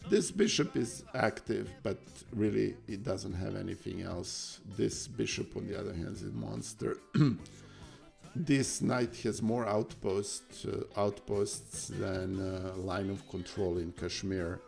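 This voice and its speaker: Slow raspy-voiced man streaming chess. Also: on the low side, a little mellow, faint music behind. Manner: halting, many fillers and restarts. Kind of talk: casual live-stream commentary.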